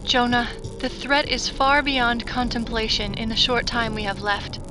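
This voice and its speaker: High-voiced, solemn synthetic woman played by an actress. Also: unhurried, music in the background, lightly acted. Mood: grave, urgent. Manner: earnest, solemn. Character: devoted, grave female synthetic human in love. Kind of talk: sci-fi audio drama acting.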